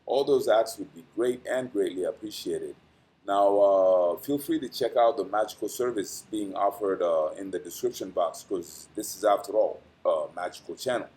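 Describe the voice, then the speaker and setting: A man's voice: lowish voice, moderate pace, warm tone. A man hosting an astrology channel, reading a dense weekly forecast with frequent "uh" fillers and small stumbles, then chatting casually in a rambling sign-off. He pronounces English carefully, as a language he learned later in life.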